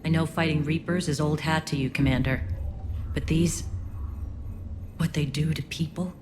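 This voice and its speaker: A woman playing a guarded, sincere alien resistance leader in a video game, speaking in a measured, reflective way. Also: low-pitched, fairly even intonation, noisy background, lightly acted. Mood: grave concern. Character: earnest, guarded female alien resistance leader, haunted veteran.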